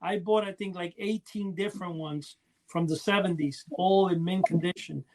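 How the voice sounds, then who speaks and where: A man's voice: high-pitched, steady tone, normal speed, noisy background. A man hosting a casual podcast chat, telling rambling, enthusiastic stories. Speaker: podcast host.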